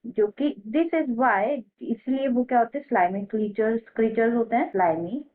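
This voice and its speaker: An Indian woman teacher explaining a lesson, repeating key terms slowly as if dictating. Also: a little high. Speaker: biology teacher. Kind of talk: explanatory lesson in mixed Hindi and English. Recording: muffled phone-like narrow-band audio.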